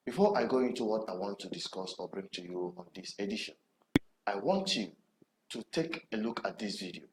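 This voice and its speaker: A man with a Nigerian accent hosting an online TV programme, addressing viewers in deliberate, emphatic phrases with dramatic pauses. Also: on the low side, animated. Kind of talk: presenter commentary to camera. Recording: roomy echo with background noise.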